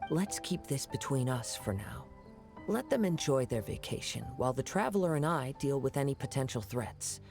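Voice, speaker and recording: A composed young swordsman in a game. He speaks politely and measuredly, with a noticeable creak at a medium pitch. Music plays behind him. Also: lively intonation, moderate pace, a little mellow. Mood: composed, considerate. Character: calm, courteous, watchful young wandering swordsman. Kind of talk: video game voice acting.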